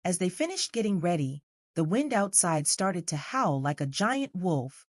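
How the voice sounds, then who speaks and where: A woman's voice: on the low side, sing-song, unhurried, dry recording, clean studio sound. A woman reading scripted narration for a children's story as the narrator.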